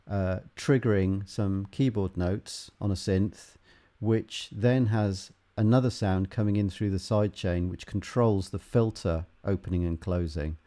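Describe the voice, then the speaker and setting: A man teaching music production in an unscripted tutorial, haltingly thinking aloud as he works through each step. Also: low voice, warm tone. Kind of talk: unscripted software tutorial, explaining while demonstrating.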